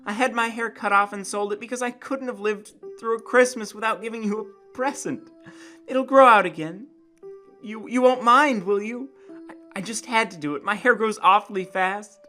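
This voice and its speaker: A man's voice: high-pitched, moderate pace, bright, forceful tone, voice pushed up. A man voicing an anxious, devoted young wife in a storybook reading, with a breathless, pleading manner. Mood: desperate pleading. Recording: guitar music behind the voice.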